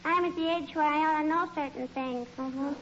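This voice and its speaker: A very high, childish little girl's voice, cheeky and chatty. She pesters persistently in the style of 1940s radio comedy. The old recording is dull, with faint noise. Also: fairly even intonation, brisk, fairly bright tone. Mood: earnest, precocious. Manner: childish, chatty, repeats tags like "I bet you".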